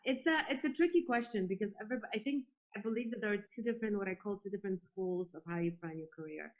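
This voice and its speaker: A woman's voice: medium pitch, fast, low-quality recording. A woman from Poland giving earnest, reflective answers as a guest in a conversational interview. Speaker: interview guest, space industry executive.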